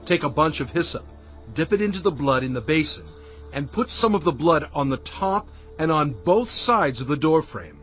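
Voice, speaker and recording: An actor playing an earnest, forceful male prophet and leader in a dramatized audio Bible, declaiming emphatically. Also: on the high side, animated, normal speed. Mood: urgent, instructing. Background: music.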